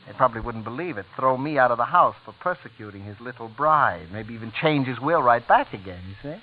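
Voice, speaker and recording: A man's voice: medium pitch, lively intonation, fast talker, close to an everyday voice. An American man playing a sly, menacing former prosecutor with a smug, insinuating manner in a 1940s radio drama. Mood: sly menace. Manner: smug, insinuating, drawling little 'huh' tags. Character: sly, menacing former prosecutor blackmailing his brother's wife. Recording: tinny, hissy 1940s radio recording.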